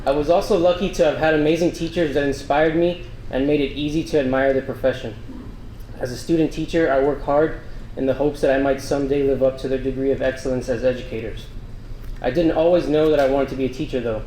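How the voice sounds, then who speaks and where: An earnest young man delivers a prepared, grateful speech at a podium in a large banquet room. His voice sits at an ordinary pitch and carries a roomy echo, with faint ambience behind it. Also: steady tone, quick pace, warm tone, a little hiss or hum. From Miami, Florida. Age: young adult. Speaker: scholarship student speaker. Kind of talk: prepared speech at a breakfast event. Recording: echoey hall, faint ambience.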